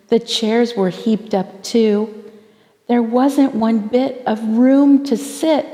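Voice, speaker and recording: Slow, expressive woman storyteller in reverberant hall. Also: mid-range voice. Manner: theatrical, deliberate phrasing with drawn-out emphasis for children. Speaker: pastor reading a children's story aloud. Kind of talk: expressive storybook read-aloud in rhyming verse.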